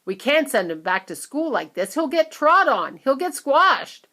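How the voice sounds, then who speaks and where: A woman's voice: sits high, big pitch swings, a little slow, bright, forceful tone, voice pushed up, full character voice. A woman voicing an exasperated, blustering middle-aged father.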